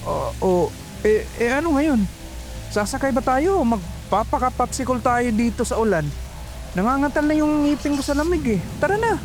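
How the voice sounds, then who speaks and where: A woman's voice: high voice, animated, moderate pace, a little mellow, soft background music. A woman with a Filipino accent playing a chatty, nervous young call center agent in an audio horror drama, with stammering, colloquial speech. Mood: impatient, uneasy.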